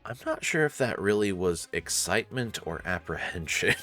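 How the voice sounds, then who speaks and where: Man, low voice, expressive swings, game narration, casual commentary, background music. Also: moderate pace. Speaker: game player reading and reacting.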